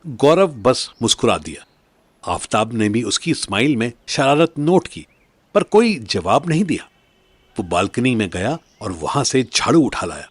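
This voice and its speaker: A man's voice: mid-range voice, big pitch swings, a little slow, slightly rough, no room sound. A man narrating a scripted audio drama story as its narrator.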